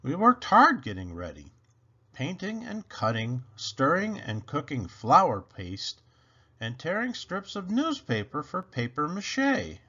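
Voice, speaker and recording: A man reads a picture book to children with a swooping, animated delivery. He takes his time over each phrase, and his voice is bright, with slightly dull audio. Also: fairly high pitch, slow pace, husky. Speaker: picture book read-aloud reader. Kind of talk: animated scripted storybook narration for children.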